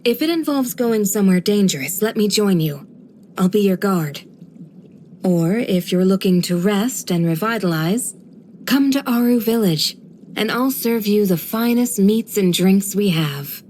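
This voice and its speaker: A woman voicing a serene, kindly guardian of a desert village in a video game, with a gentle, measured, formal storytelling delivery. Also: medium pitch, animated, slight background noise, close to an everyday voice. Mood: gentle, caring. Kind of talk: scripted video game character voice lines.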